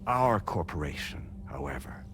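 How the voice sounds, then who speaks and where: Deep-voiced formal corporate executive. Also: male voice, sing-song, normal speed, background noise. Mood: composed, formal. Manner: formal, precise, explanatory. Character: formal, measured male corporate executive addressing a courier. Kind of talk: video game cutscene acting.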